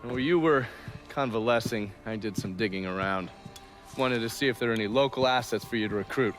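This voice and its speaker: An actor playing a wry, foul-mouthed American intelligence operative, breezy and irreverent, in a video game cutscene. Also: male voice, mid-range voice, brisk, faint music behind, close to an everyday voice. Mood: wry, businesslike.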